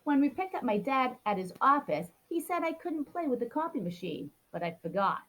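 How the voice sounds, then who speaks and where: A woman's voice: high voice, very animated, a little fast, a bit bright, rough recording. A woman reading a children's picture book aloud, expressively acting out a grumpy child's complaints. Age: adult. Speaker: storybook reader.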